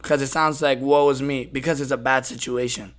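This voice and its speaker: A man's voice: mid-range voice, steady tone, a little fast. A man hosting a casual podcast conversation, speaking directly and emphatically and often checking in after his points. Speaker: podcast host.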